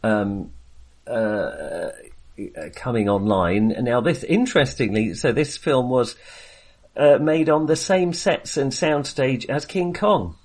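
A man co-hosting a podcast, chatting casually about films in a halting, jokey, self-deprecating way. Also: medium pitch, expressive. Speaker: podcast co-host. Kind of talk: casual film discussion.

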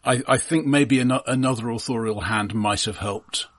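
A man co-hosting a casual film discussion, dry and measured, choosing his words with some halting. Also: mid-range voice, fairly bright tone. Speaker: film podcast co-host. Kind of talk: casual film review chat.

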